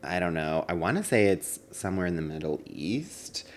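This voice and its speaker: A man with a low voice banters as a podcast co-host. His talk is loose and conversational, with a wry, teasing edge. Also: animated, normal speed. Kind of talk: casual banter.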